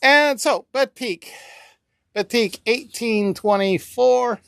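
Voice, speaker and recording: Older man with a smooth, high voice. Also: takes it slowly, fairly bright tone, no room sound, studio quality. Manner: rambling, many fillers, upbeat price calls. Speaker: fabric shop co-host. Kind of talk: casual product showcase. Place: indoor fabric store among shelves. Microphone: small camera on table nearby.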